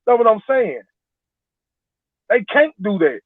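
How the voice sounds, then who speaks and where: A man's voice: high voice, big pitch swings, slow pace, no echo. A man in his forties calling in, rambling and defending his point, repeating himself. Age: 40s. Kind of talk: heated casual debate. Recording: tinny phone line, dry.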